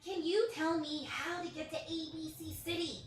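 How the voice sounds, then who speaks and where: A woman plays an earnest, stubborn traveller with an extremely high, blunt voice. The stage reading is heard from a distance in a very echoey space. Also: steady tone, normal speed. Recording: very echoey, distant, faint noise.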